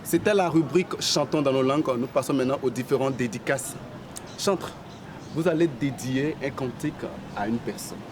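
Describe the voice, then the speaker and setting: A man hosting a gospel program, announcing the segments in a measured tone. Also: mid-range voice, background noise. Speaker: program host.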